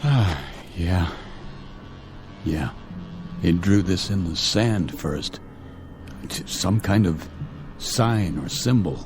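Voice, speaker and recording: A man's voice: sits low, slow, long pauses. An actor playing a commanding military captain in an old time radio drama, stern and accusing yet caring toward his men, with clipped orders. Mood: grieving. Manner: forceful interrogating, clipped orders. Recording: radio drama with music underneath.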